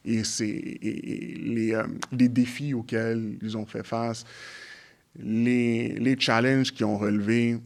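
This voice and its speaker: A man's voice: medium pitch, flat delivery, creaky. A man whose first language is English, speaking French as a guest in a conversational interview, often restarting his sentences and slipping into English phrases. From Montreal, Quebec. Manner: halting, restarts often while searching for words. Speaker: guest, community organization leader.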